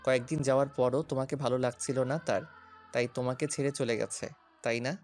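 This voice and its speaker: A man's voice: medium pitch, fairly even intonation, a little fast, a little mellow, soft background music, lightly acted. An actor playing a domineering young policeman suitor in a romantic audio story, commanding and taunting.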